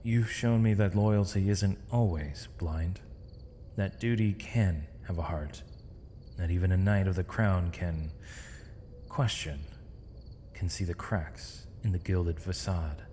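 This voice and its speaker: Casting: male actor for misunderstood villain mage in chains, low mellow voice, gentle and eloquent, intimate ASMR roleplay in a reverberant space. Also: a little hiss or hum. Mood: earnest, hopeful. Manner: measured, formal, poetic phrasing with thoughtful pauses. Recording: long reverb, faint night insect ambience.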